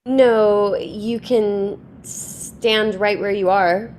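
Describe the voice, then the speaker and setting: A woman's voice: medium pitch, fairly even intonation, very slow, darkish tone, slight background noise, low-quality recording. An actress playing a firm, unflappable woman attorney, cool and assertive, in a staged play reading. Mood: cool, defiant.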